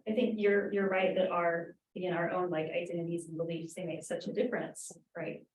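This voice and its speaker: A woman's voice: on the low side, flat delivery, brisk, darkish tone, a little hiss or hum. A woman instructor in a roundtable discussion, speaking thoughtfully with many "um"s and "like"s. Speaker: graduate language instructor. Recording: roomy, from far off.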